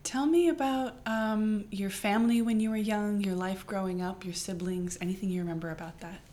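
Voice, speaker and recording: A woman interviewer opening an oral history recording and asking measured questions. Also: medium pitch, fairly even intonation, warm tone.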